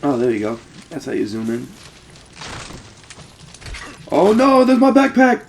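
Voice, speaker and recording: A man's voice: on the high side, wildly swooping pitch, unhurried, gravelly, slight background noise. A man hosting a Let's Play, giving casual, jokey game commentary with exclamations and swearing.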